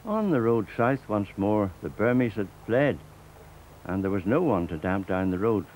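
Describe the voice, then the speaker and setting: An older man with a Northern Irish accent, reading a scripted documentary narration in a measured, steady way. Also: fairly low pitch, a little hiss or hum, rough recording. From Northern Ireland.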